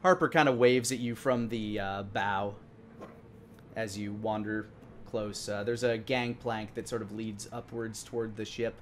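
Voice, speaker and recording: A man in his thirties improvising narration as dungeon master of a tabletop game, in a halting, conversational way. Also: on the low side, steady tone, slight background noise.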